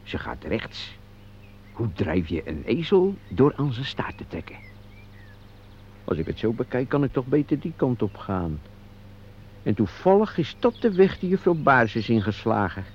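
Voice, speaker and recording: Wry teasing man, wildly swinging gravelly voice. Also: medium pitch, big pitch swings, a little slow, a little hiss or hum. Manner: teasing, muttering wry asides. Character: chatty teasing man courting a silent woman outdoors. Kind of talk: Dutch radio play acting. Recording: muffled, narrow-band radio recording.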